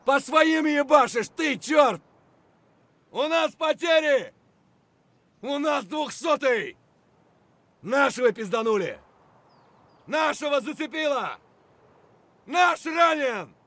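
An aggressive, coarse soldier from a military shooter game. His callouts are short and punchy, with big swings in pitch, and the recording is dry and close. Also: animated, slow pace, pauses often, fairly bright tone, no room sound, full character voice. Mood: furious, shouting. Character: aggressive, foul-mouthed Russian mercenary soldier in combat. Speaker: video game voice actor. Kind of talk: military shooter combat barks.

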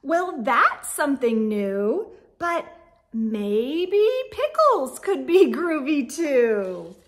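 A middle-aged woman reading a children's storybook aloud with expressive, exaggerated emphasis and sing-song refrains. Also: high voice, drawn-out words. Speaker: storytime read-aloud host. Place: indoor room with bookshelves.

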